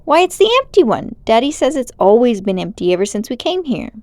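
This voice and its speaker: A woman voicing an excitable, brooding and adventurous schoolboy in a storybook, speaking emphatically. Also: on the high side, a little fast, no echo, studio quality. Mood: eager, bold. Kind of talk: storybook character voice.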